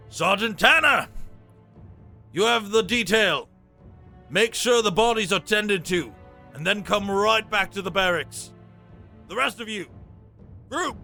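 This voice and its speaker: A man's voice: high-pitched, sharp tone, heavily acted. A man playing a loyal, seasoned army sergeant in a fantasy audio drama, stoic and respectful, with clipped military speech. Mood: barking orders.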